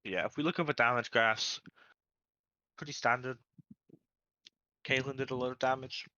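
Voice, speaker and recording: A man with a soft voice casting a live online game, joking about himself between plays. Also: mid-range voice, fairly even intonation, normal speed, no echo, dull audio. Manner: self-deprecating, joking. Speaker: esports caster.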